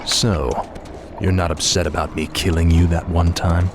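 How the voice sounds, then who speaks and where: An actor playing a fallen prince turned death knight in a game cutscene, blunt and sardonic. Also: male voice, low-pitched, little pitch movement, normal speed, background noise, natural voice.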